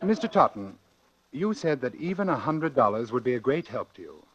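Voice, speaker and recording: A man's voice: medium pitch, sing-song, lightly acted. A man playing a coolly calculating, confident doctor obsessed with his theory, speaking precisely and formally in 1940s radio suspense style. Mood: smooth, persuasive. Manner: precise, formal, deliberate diction. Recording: dull old radio broadcast with music.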